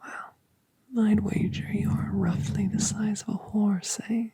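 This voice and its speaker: A woman's voice: medium pitch, flat delivery. A woman whispering as a colossal doting dragon mother. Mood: tender. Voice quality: breathy whisper. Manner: soft, slow, tender reassurance. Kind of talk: ASMR roleplay audio.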